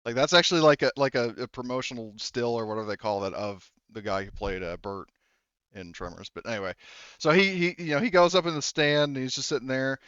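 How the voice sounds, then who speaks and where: A man's voice: medium pitch, quick pace, a bit bright, smooth, no echo, muffled recording. A man working as a game master, narrating a tabletop game casually in an informal, profane, rambling way.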